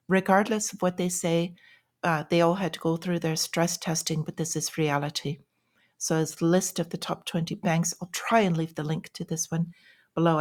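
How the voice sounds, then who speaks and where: A woman's voice: fairly low pitch, darkish tone. A woman giving informal news commentary in a plain-spoken, explanatory and cautionary way, as the host of a financial vlog.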